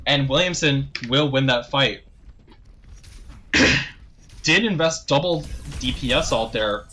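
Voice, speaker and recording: Bright-voiced young male esports caster. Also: mid-range voice, a little hiss or hum. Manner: rapid play-by-play, rising excitement on big plays, frequent throat clearing. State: slightly hoarse, thirsty. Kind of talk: live play-by-play commentary.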